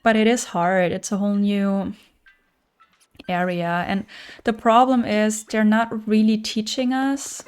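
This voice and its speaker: A woman's voice: mid-range voice, fairly even intonation, measured pace, clean tone. A young woman with a German accent, chatting casually on a livestream and answering viewer messages with frequent fillers. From German-speaking. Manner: chatty, thinks aloud with fillers. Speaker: streamer.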